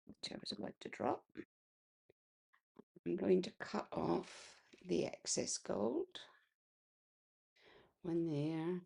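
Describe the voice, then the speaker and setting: Casting: unhurried crafter woman, gravelly and husky, croaky today. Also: medium pitch, warm tone. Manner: chatty, clears throat often. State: slightly croaky voice. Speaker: card-making crafter. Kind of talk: casual craft tutorial.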